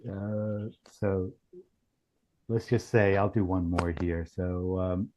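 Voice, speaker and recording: A man giving a casual software demonstration in a halting way, thinking aloud as he goes. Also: deep voice, monotone, a little hiss or hum. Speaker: company founder demonstrating software. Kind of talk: casual product demo.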